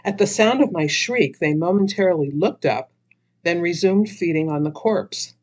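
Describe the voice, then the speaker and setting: A woman's voice: lowish voice, lively intonation, moderate pace, darkish tone. A woman author narrating her own humorous story dramatically, with theatrical expression.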